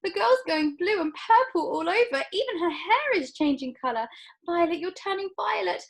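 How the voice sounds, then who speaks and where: A frantic storybook mother, voiced by a woman reader shrieks in a piercing, high voice. Her voice leaps wildly up and down. She never stops panicking. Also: huge pitch swings, slow, exaggerated voice. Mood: panicked. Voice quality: shrill, frantic. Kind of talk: storybook read-aloud.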